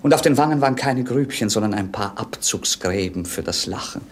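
An actor playing a bored, witty young prince in a 1950s radio play, with a mannered, mocking stage delivery. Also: male voice, lowish voice, expressive, brisk, husky. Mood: ironic, languid.

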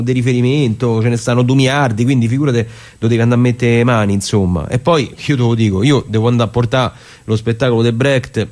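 A male actor as an eager young theatre director with a broad Roman accent. He talks in loose, colloquial radio-theatre dialogue, circling back and repeating words as he tries to persuade. His voice sits at a medium pitch. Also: a little mellow, close to an everyday voice. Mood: harried, reluctant. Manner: halting, repeats words while explaining.

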